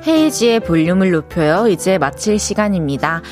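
A woman's voice: fairly low pitch, lively intonation, moderate pace, over loud music. A woman hosting a radio show, chatting casually and playfully and laughing easily with a guest.

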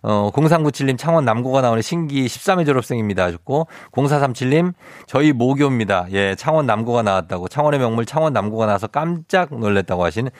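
A man's voice: mid-range voice, expressive, fast talker, few pauses, no echo, clean studio sound. A male radio DJ hosting a quiz segment in a playful, teasing way. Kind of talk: casual radio show hosting. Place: radio studio. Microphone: studio microphone.

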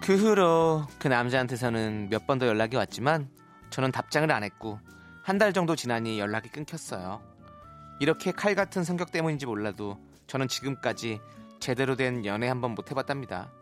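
A man's voice: medium pitch, animated, brisk, faint music behind, lightly acted. A man voicing a blunt, easily flustered woman of thirty-two in a radio story reenactment. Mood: wistful. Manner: flustered, prim, indignant. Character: blunt, easily flustered 32-year-old single woman telling her story.

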